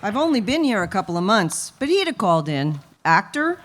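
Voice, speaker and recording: A woman's voice: medium pitch, big pitch swings, a little fast, a little hiss or hum, lightly acted. A woman acting a chatty, naive talent agency receptionist in a 1950s-style radio play, matter-of-fact in manner. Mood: chatty, helpful. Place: live radio play performance at an event.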